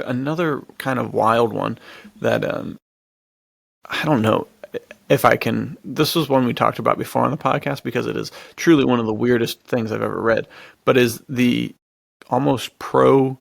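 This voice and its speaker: A man's voice: medium pitch, gravelly, no room sound. A man co-hosting a podcast, chatting casually and enthusiastically about stories in a slangy way. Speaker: podcast co-host. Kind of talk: casual film and book discussion.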